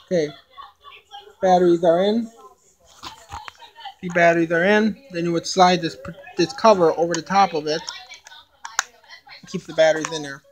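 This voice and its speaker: A young man giving a casual unboxing demonstration, explaining things in a halting, repetitive way into a handheld camera. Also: fairly high pitch, steady tone, slight background noise, low-quality recording. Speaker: hobbyist video maker. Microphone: handheld camera, no tripod.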